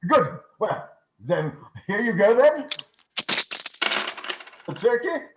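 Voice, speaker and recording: An elderly reformed miser bursts with giddy generosity in a radio play. The voice is high and very animated, repeating phrases with exclamatory delight, over a roomy, echoing call. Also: male voice, slow, noisy background, full character voice. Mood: giddy, generous. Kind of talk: theatrical radio play reading. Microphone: video call.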